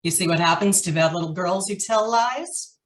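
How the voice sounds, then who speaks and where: A woman's voice: lowish voice, moderate pace, echoey room, close to an everyday voice. An actress playing a hard-boiled, sarcastic female ex-convict in a noir radio drama, taunting and defiant.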